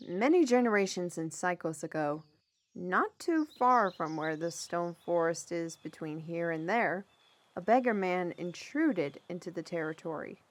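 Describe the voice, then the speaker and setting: A woman playing a chatty, easily flustered storyteller in a fantasy audio roleplay, with an expressive, hesitant delivery. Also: lowish voice, a little slow. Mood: musing, amused. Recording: music behind the voice.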